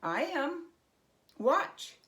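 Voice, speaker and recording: A woman voicing a brave, clever man in a children's storybook, with a bold, deliberate, challenging manner. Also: high-pitched, very animated, bright, forceful tone, voice pushed up, exaggerated voice. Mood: calm, challenging. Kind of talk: acted children's storybook reading.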